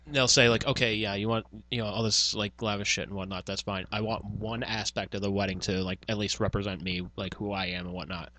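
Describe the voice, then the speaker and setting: A man's voice: on the low side, steady tone, a little fast, bright, forceful tone. A man chatting casually as a podcast co-host, rambling and swearing freely as he tells stories. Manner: profane, rambling storytelling with frequent filler words. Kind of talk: casual banter.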